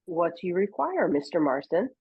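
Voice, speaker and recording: A woman's voice: fairly low pitch, big pitch swings, normal speed, husky, exaggerated voice. A woman voicing a polite, chatty male valet in a radio play. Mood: helpful. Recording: dull lo-fi recording.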